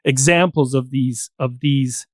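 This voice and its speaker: A man's voice: mid-range voice, unhurried, no room sound, clean studio sound. A man speaking as a panel guest, explaining ideas in a discursive, professorial way with examples. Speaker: podcast guest.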